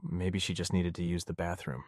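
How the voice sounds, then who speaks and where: A man's voice: low-pitched, steady tone, moderate pace, a little mellow, no echo, studio quality. A man playing an awkward, wry teenage boy in a visual novel, both narrating and speaking in dialogue.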